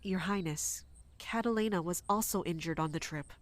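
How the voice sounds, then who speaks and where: A woman's voice: lowish voice, normal speed, close to an everyday voice. Woman playing a dutiful, formal royal guard captain. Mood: subdued. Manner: formal, deferential. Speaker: voice actor in audio drama. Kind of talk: dramatic audio drama acting.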